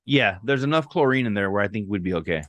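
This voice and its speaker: A man's voice: fairly low pitch, animated, fast, fairly bright tone, dry recording. A young man co-hosting a podcast, chatting casually and telling stories with slang and a few Spanish words. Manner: laid-back, storytelling with slang and Spanish words. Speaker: podcast co-host. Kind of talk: casual chat.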